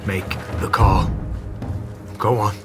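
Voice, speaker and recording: An actor playing a ruthless, cynical, foul-mouthed mercenary leader, brash and flippant, in a fantasy audio drama. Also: male voice, lowish voice, level tone, slow, over music. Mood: cold, calculating.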